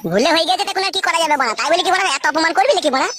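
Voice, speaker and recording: A woman's voice: very high voice, lively intonation, racing, heavy background noise. A woman voicing a defiant, aggrieved young married son in a comic cartoon, whining and cursing in a rural West Bengal accent. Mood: defiant, taunting.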